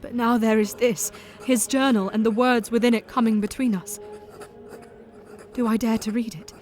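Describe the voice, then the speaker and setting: An actress playing a devoted young Victorian woman in an audio drama, speaking in a tender, earnest, soft-spoken way. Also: fairly high pitch, fairly even intonation, moderate pace, slight background noise, close to an everyday voice. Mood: pensive.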